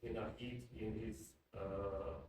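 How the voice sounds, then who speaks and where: A man's voice: on the low side, steady tone, slow pace. A man in a book club discussion, hesitantly searching for his words. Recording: echoey room from far off, noisy.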